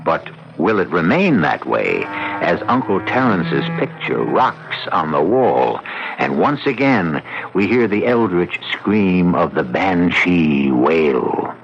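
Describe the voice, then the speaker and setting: A man hosting a radio drama with theatrical scripted narration, in an ominous, deliberate storyteller's manner. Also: low-pitched, big pitch swings, vocal fry, background noise. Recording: muffled old radio recording. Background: loud dramatic music.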